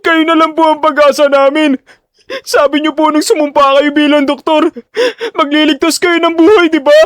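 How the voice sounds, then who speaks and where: A man's voice: very high-pitched, little pitch movement, normal speed, fairly bright tone, no room sound, studio quality, voice pushed up. A man voicing a desperate poor teenage son in an audio drama, with a tearful, imploring manner. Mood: tearful pleading.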